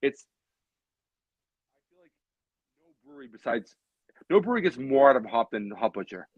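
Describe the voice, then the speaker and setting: A man's voice: medium pitch, very animated, a little fast, husky, no room sound, phone-quality sound. A man in his late thirties chatting casually as a show co-host, in a rambling way that repeats phrases for emphasis. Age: late 30s. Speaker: co-host of a beer hangout show. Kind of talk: casual chat.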